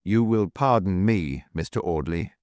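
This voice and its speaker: A man's voice: medium pitch, lively intonation, normal speed, no echo, voice pushed up, natural voice. A man voicing an anguished foreign hotel proprietor in a storybook, breathless and stammering in broken English. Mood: apologetic dread.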